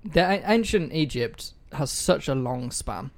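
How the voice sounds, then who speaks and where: A man's voice: fairly high pitch, lively intonation, measured pace. A man hosting a casual history podcast from home, joking about himself and swearing now and then, on a poor-quality mic. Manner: jokey, self-deprecating, swears casually. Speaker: podcast host. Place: room at home with pets and food on the table.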